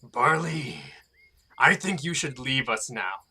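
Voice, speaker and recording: A man voicing a fierce, commanding dark warrior cat in a dramatic fan-made audiobook, stern and accusing. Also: mid-range voice, expressive, slow pace, slightly rough, exaggerated voice. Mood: solemn, stern.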